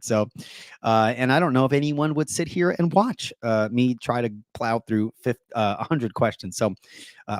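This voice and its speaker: A middle-aged man hosting a casual live stream, talking softly and deliberately quiet, rambling with plenty of fillers. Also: mid-range voice, no room sound, clean studio sound. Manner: soft-spoken, deliberately quiet to save his voice. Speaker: host of apologetics live stream.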